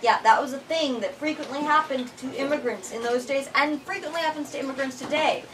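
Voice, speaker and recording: A woman giving a theatrical, expressive reading aloud in a bookstore, adding her own commentary. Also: high voice, brisk, recorded from a distance, noisy background. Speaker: reader leading a bookstore literary talk.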